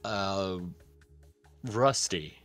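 A man's voice: low-pitched, animated, drawn-out words, fairly bright tone, clear, resonant tone, faint music behind. A man chatting casually as a player in a group word game. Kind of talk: casual chat.

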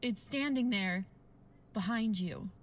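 A woman's voice: medium pitch, takes it slowly. A woman playing a sober, earnest female doctor in a 1940s-style radio drama. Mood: grave, pleading. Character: grave, tense female doctor and scientist.

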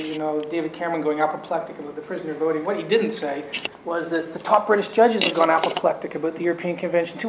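A man's voice: a little high, noisy background. A man on a conference law panel, talking bluntly and emphatically and firing off rhetorical questions. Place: conference room. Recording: roomy echo.

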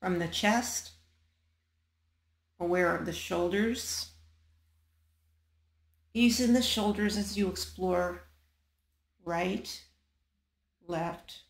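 A woman guiding a body scan meditation in a calm, measured manner, leaving long pauses between short phrases. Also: lowish voice, creaky, roomy sound. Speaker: meditation guide.

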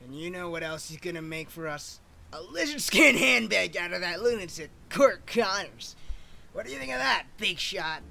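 A man's voice: high voice, animated, moderate pace, creaky, sharp tone, full character voice. A man playing a boastful, thin-skinned supervillain who needles others in a comic dub. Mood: boastful, taunting. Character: brash, thin-skinned male supervillain showing off.

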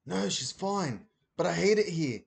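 Man playing a whiny young boy, high and hushed, begging in a storybook reading. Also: lively intonation, a little slow, gravelly, heavily acted. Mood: pleading. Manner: hushed, pleading whisper.

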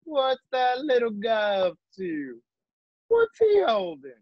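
An actor playing a menacing gun-toting bandit in a Western radio drama, deliberate and threatening. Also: male voice, very high-pitched, wildly swooping pitch, dry recording, lo-fi sound, heavily acted.

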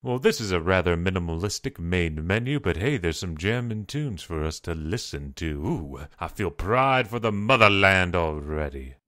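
A man's voice: low-pitched, animated, moderate pace, few pauses. An American man giving sarcastic, wisecracking commentary over a video game, with theatrical exclamations. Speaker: let's play host. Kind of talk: comedic gameplay commentary.

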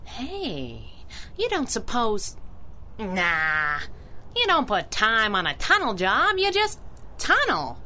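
Sassy young female vigilante sidekick, 1930s radio style. Bright, very animated, unhurried. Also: a little high, exaggerated voice. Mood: restless, self-chiding. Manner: sassy, self-narrating pulp patter. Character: plucky, wisecracking young female masked crimefighter sidekick.

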